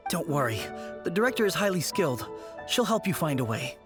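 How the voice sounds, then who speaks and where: A man's voice: fairly high pitch, big pitch swings, brisk, music in the background. A man playing a hesitant, easygoing young sceptic in a video game, speaking in a matter-of-fact way. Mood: reassuring. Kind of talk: English video game voice acting.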